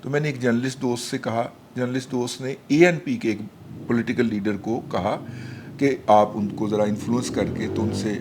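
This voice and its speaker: A man's voice: mid-range voice, steady tone, moderate pace, creaky. A man on a political talk panel, telling a personal story with rising animation. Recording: faint background noise.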